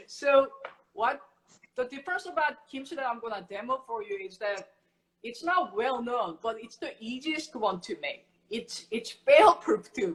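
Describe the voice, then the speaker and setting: A middle-aged woman from Korea who teaches kimchi making, explaining in a casual, animated way with everyday comparisons. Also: fairly high pitch, rough recording. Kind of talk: casual cooking chat.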